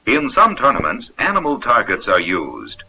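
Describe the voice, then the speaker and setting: A man's voice: medium pitch, huge pitch swings, moderate pace, pushed and bright, breathy edge. An American man reading scripted narration for a 1970s instructional film in a folksy, upbeat, explanatory manner. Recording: dull, noisy vintage 16mm film soundtrack.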